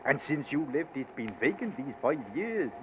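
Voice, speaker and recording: Radio drama role: nervous, deferential old Yorkshire gardener, highish voice, hesitant, on a noisy vintage recording. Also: male voice, big pitch swings, moderate pace, phone-quality sound. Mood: uneasy. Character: anxious, deferential old male English gardener. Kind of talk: 1940s radio acting.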